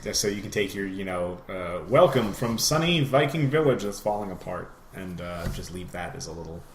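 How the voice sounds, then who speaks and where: A man's voice: sits low, expressive, normal speed. Male podcast co-host, wry and rambling, casual gamer chat, faint noise behind. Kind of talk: casual chat about video games.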